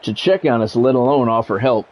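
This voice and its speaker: A man's voice: medium pitch, fairly quick, darkish tone. An American man hosting a game livestream, chatting casually and bluntly between bits of play-by-play commentary. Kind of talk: casual live-stream chat with play-by-play commentary. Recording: dull lo-fi sound, music behind. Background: music.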